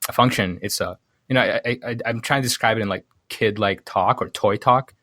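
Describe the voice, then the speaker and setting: A man from Sudan explaining things casually as an interview guest, haltingly thinking aloud and correcting himself as he goes. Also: on the low side, a bit bright, no room sound, clean studio sound. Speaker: podcast guest, programmer.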